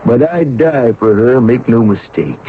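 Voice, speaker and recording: A man's voice: mid-range voice, animated, a little slow, narrow, tinny audio, lightly acted. An actor playing a boastful, suspicious Egyptian sailor in a 1970s radio drama, with folksy, blustering working-man talk. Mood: wistful, loyal. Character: gruff, boastful, suspicious Egyptian sailor devoted to his queen. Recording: band-limited, noisy old radio recording.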